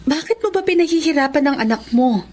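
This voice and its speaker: High-voiced, earnest Filipino nanny. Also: female voice, animated, fast talker, darkish tone, slight background noise. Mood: pleading. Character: caring, earnest young nanny pleading for her ward. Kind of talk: Filipino radio drama acting.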